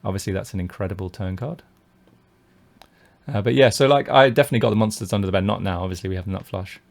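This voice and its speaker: A man from the UK with a lowish, gravelly voice talks through his own poker hands on a live stream. He thinks out loud, full of fillers and restarts, as he weighs each decision and reads out viewer messages. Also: quick pace.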